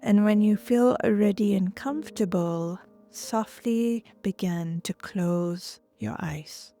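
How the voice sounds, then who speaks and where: A woman leading guided relaxation and breathwork as a yoga instructor, gentle and soothing, often trailing off into a breathy near-whisper. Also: mid-range voice, slow pace. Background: soft music.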